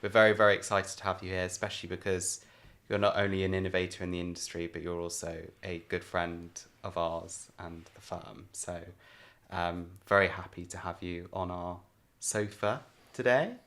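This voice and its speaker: A man's voice: low-pitched, little pitch movement, moderate pace, fairly bright tone. A man hosting a podcast, introducing and questioning a guest in a conversational style. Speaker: podcast host.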